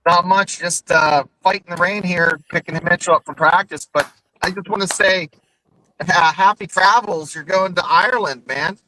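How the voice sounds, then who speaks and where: A man's voice: sits high, normal speed, bright, forceful tone, slight background noise, rough recording. A man chatting playfully and goofily on a podcast call. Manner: playful, goofy. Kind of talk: casual chat.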